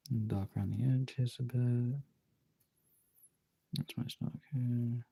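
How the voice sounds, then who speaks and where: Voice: medium pitch, steady tone, slow, dark tone. A streamer chatting casually while working on art, thinking aloud in short, informal, sweary remarks. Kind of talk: casual chat while working, muttered self-talk. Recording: clearly noisy, with clicks.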